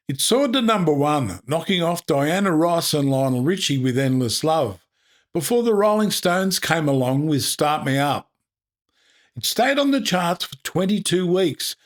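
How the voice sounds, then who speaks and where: An Australian man presenting music history in upbeat, colloquial scripted narration. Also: fairly high pitch. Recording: music playing behind. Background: background music.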